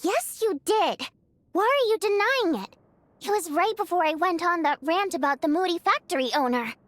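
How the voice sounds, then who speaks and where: An actress playing an excitable, outspoken young woman with a dramatic, chatty delivery in a video game. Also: very high voice, normal speed, soft background music. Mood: teasing, surprised. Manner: expressive, exclamatory, chatty.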